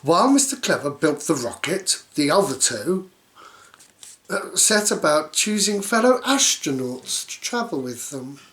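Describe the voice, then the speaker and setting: A woman's voice: deep voice, very animated, unhurried, fairly bright tone. A woman reading a children's story aloud in a silly, theatrical way, dropping in playful asides.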